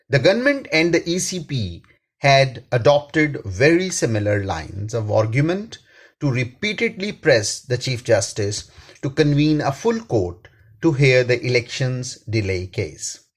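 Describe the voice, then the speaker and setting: A man with a Pakistani accent reads a scripted editorial aloud. His delivery is slow and deliberate, with a husky voice and a formal manner. The pitch moves in an animated way across phrases. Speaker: reader, attorney and law professor.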